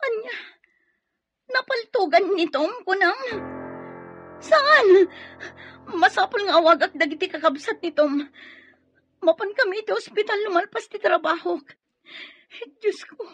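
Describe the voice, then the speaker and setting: A woman's voice: extremely high pitch, unhurried, long pauses, fairly bright tone, no echo, faint music behind. A woman with an Ilocano Filipino accent acting a worried, caring police office worker in an audio drama. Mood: alarmed.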